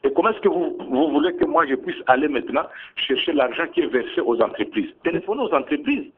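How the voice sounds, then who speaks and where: A man's voice: a little high, expressive, fast, a little hiss or hum. A man from DR Congo with a Congolese accent, speaking as a government minister in a radio interview, insistent and emphatic, repeating his points. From Bunia, DR Congo. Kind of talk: defensive radio interview answers. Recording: tinny phone-like radio sound.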